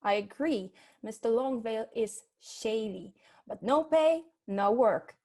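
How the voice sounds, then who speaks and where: A woman's voice: on the high side, lively intonation, unhurried, warm tone. A woman playing a sassy, no-nonsense private eye's assistant in a humorous noir radio play, dry and unimpressed. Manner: dry, unimpressed, matter-of-fact.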